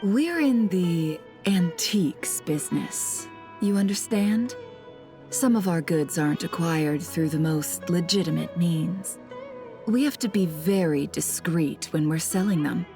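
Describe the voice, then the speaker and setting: A shrewd female traveler from a video game, posing as a smuggler. Her voice sits on the low side, polite and composed, with a calculating edge. Music plays underneath. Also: measured pace, dark tone. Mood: confiding.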